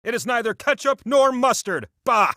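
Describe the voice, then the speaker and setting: A man's voice: very high-pitched, very animated, moderate pace, pushed and bright, no room sound, studio quality, full character voice. A man voicing a boastful, god-like talking wheel that hosts a video game quiz show, pompous and theatrical with teasing, chatty asides. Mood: grand.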